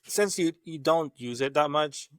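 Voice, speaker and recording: A man's voice: on the high side, measured pace, no echo, studio quality. A man from the Philippines with a Filipino accent, a guest chatting casually and rambling with restarts. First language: Tagalog. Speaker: guest, lab scientist. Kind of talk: casual conversation.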